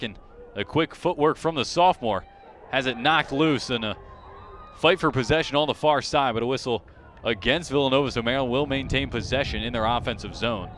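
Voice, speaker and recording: A man's voice: mid-range voice, a little hiss or hum. A male sports commentator delivers live play-by-play in a mid-range voice. He follows the action closely and raises his intensity as it builds. Faint outdoor ambience sits behind him. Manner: excitement rises sharply on big plays.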